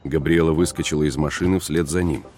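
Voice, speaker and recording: A man narrating a story in an even, descriptive way. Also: rumbling low, fast. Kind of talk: scripted narration.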